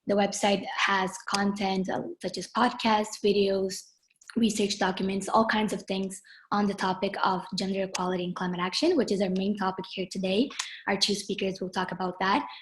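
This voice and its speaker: Young woman from Brazil, native Portuguese speaker, moderating a video webinar; middle pitch, friendly, filler-heavy delivery. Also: a little hiss or hum. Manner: friendly, many ums and uhs, slows on key phrases. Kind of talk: online webinar introduction. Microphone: video call.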